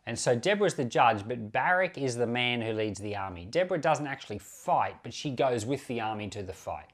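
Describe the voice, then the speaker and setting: Male pastor-podcaster, mid-pitched, informal Bible commentary, conversational and unscripted with asides. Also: animated, brisk. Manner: conversational, unscripted, explaining with self-corrections and asides.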